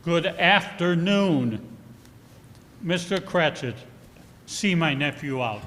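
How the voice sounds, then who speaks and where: An actor playing a cold, bitter old miser with scornful, barking theatrical delivery in a 1940s style radio play. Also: male voice, a little high, animated, takes it slowly, a bit bright. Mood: curt, dismissive. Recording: live performance in a reverberant hall.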